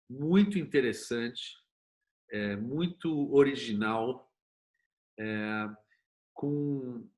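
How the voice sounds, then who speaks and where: A man's voice: mid-range voice, fairly bright tone. A man with a Brazilian Portuguese accent talking casually and emphatically as a guest in an interview, in informal language. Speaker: interview guest, TV producer and screenwriter.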